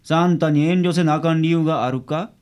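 An actor playing a gruff, lazy but secretly kind male private detective with a blunt Kansai accent in a radio drama. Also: medium pitch, brisk, darkish tone, close to an everyday voice. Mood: probing.

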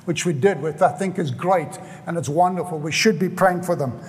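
An older man from South Africa with a South African accent, preaching a sermon in an earnest way and repeating phrases for emphasis. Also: high voice. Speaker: pastor. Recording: hall reverb.

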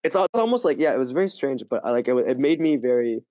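A man, a guest on an interview show, telling stories in a lively, chatty way with many fillers and quick restarts. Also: mid-range voice, no echo, dull audio. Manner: animated storytelling, full of fillers and quick restarts. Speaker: podcast guest, language teacher. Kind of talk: casual interview chat.

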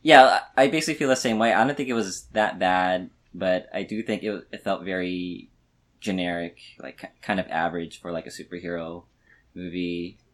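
A man co-hosting a podcast, chatting casually about a movie in a halting, rambling way. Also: sits low, steady tone. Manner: halting, rambling, filler-heavy. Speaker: podcast co-host.

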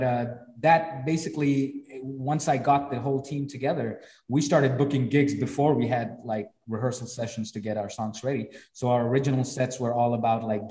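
Male guest, relaxed dark tone, loose talker full of "uh"s and restarts. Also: mid-range voice. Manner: rambling, frequent fillers and restarts. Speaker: musician guest. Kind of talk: casual chat.

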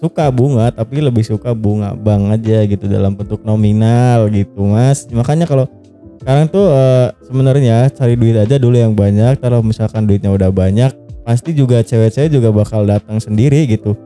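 Young male podcast host, very relaxed warm voice, slangy joker who needles and curses, faint music bed. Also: on the low side, a little fast. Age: young adult. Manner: teasing, joking, swearing freely. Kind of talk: casual slangy podcast banter. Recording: music playing behind the voice.

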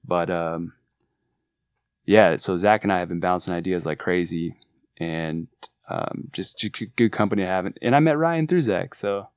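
A man with a very low voice and a relaxed Californian surfer accent talks earnestly about his work. He restarts his sentences often but keeps his enthusiasm. The recording is close and completely dry. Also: normal speed, clear, resonant tone, dry recording. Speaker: artist manager. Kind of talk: casual podcast chat.

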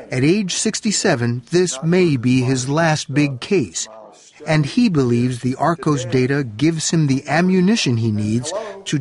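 A man correspondent narrating a television news report in a measured, scripted way. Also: mid-range voice. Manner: measured, deliberate.

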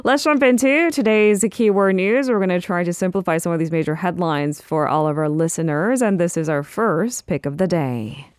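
A woman hosting a news program, brisk and friendly as she introduces topics and cues her guest. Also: fairly low pitch, clean tone. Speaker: radio news host.

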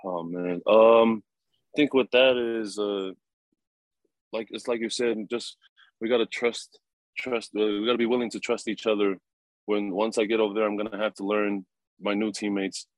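A young man in his twenties, a rugby player answering interview questions in a relaxed, halting way with many fillers and chuckles. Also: lowish voice, no room sound, rough recording. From New Zealand. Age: 20s.

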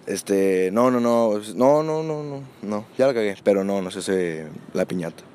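A man with a Mexican accent and a fairly low voice banters loosely, full of slang and casual swearing. He jumps into sudden loud bursts while joking. Faint noise runs under the recording. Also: animated, measured pace. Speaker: co-host. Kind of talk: casual chat.

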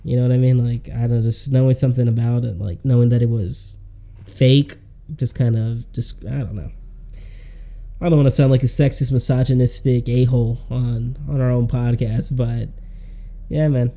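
Casual man with a very dark, mellow voice. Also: medium pitch, steady tone, normal speed. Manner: slangy, rambling, says "man" and "dude" often. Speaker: podcast co-host. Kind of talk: casual podcast chat.

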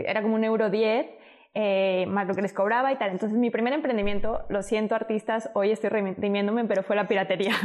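A woman's voice: mid-range voice, darkish tone. A woman in her thirties from Ecuador with an accent mixing Spain and Mexico City, chatting as a podcast guest, laughing often and trailing off mid-phrase. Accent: Spanish from Spain mixed with Mexico City. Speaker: guest, entrepreneur. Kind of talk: casual conversational storytelling.

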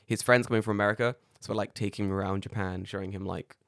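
A man co-hosting a casual podcast, his voice a little raspy, telling stories with lively acted-out quotes and plenty of swearing. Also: fairly low pitch, quick pace, dry recording, clean studio sound. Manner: animated storyteller acting out quoted dialogue, swears freely. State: slightly raspy voice after karaoke. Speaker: podcast co-host. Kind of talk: casual chat.

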